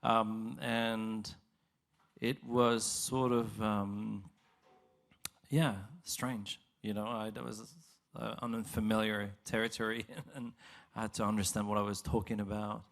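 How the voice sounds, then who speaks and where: A man who sings in a vocal group, answering interview questions in a halting way and laughing between thoughts; English is not his first language. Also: on the low side, flat delivery, vocal fry.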